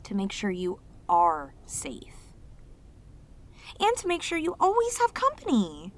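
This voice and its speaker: A woman's voice: mid-range voice, huge pitch swings, slow pace, full character voice. A woman voicing a lonely, sweet, possessive demoness in an intimate roleplay audio drama, speaking tenderly and hesitantly to the listener. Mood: devoted, eager. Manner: tender, hesitant, slightly stammering, clingy, emotive. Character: lonely, sweet, possessive female demon, sealed away, obsessively devoted.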